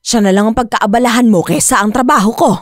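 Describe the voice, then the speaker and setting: A woman's voice: fairly high pitch, quick pace, no echo, clean studio sound, close to an everyday voice. A woman playing a stern, irritable mother and illegal abortionist in a radio drama, brusque, scolding and bossy.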